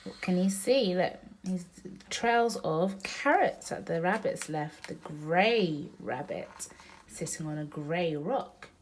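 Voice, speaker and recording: A woman's voice: lowish voice, lively intonation, slow pace, slight background noise, low-quality recording. A woman reading a bedtime picture book aloud as a mother to her young child, with sing-song emphasis and chatty asides and questions about the pictures. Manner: child-directed, asking questions and pointing out pictures.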